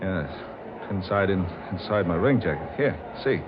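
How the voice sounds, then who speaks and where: Low-voiced, easygoing circus performer. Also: male voice, animated, moderate pace. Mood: casual, reassuring. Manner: casual, reassuring. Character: confident, easygoing male circus performer named Barker. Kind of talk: 1940s radio drama acting. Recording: noisy, muffled old radio recording.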